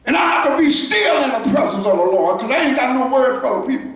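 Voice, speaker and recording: High-voiced shouting preacher in an echoey church. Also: male voice, expressive, fast, distant mic. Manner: preaching in shouted bursts with call-outs to the congregation. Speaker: pastor. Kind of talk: sermon. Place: church sanctuary.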